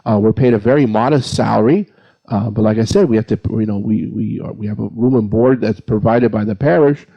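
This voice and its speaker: A man's voice: lowish voice, fairly quick, vocal fry, dull audio. A man speaking as a priest on a panel, giving an informal, plain-spoken explanation point by point. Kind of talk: informal explanatory answer to a question.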